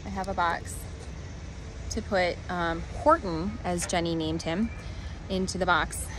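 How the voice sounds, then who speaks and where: A woman's voice: on the low side, a little slow, heavy background noise. A woman in her forties telling a rambling, flustered story into a phone held at arm's length outdoors, sighing often. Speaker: vlogger. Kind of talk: casual selfie vlog. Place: parking lot outdoors.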